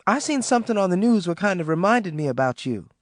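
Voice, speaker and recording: A man's voice: high-pitched, sing-song, fast talker, dry recording, studio quality, voice pushed up. A man voicing a timid, worried eighteen-year-old woman, speaking hesitantly. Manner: hesitant, soft-spoken.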